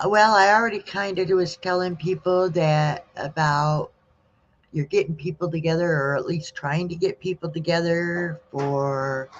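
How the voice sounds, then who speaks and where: A host asking rambling questions in a casual chat. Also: mid-range voice. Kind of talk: casual conversation. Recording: dull lo-fi audio with faint noise.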